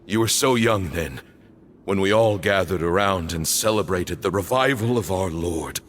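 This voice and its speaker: An actor playing a solemn male temple elder in a video game, speaking in grave, measured declamations. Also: low voice, slight background noise, close to an everyday voice. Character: solemn male cult elder, proud and zealous. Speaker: temple leader.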